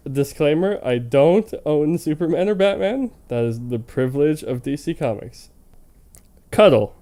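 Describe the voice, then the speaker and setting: Mellow man doing a lively comedic read-aloud. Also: medium pitch, smooth. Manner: stumbles over words while reading; breaks into laughter easily. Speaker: reader of fan fiction for a comedy web series. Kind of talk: informal comedic read-aloud with joking asides.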